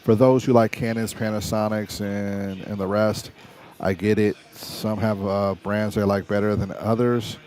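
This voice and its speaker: A middle-aged man with a raspy voice, hosting a casual livestream about cameras in a loose, stop-start way. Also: on the low side, little pitch movement, unhurried, darkish tone, a little hiss or hum. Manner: conversational, stop-start, salesy about gear. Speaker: livestream host and videographer.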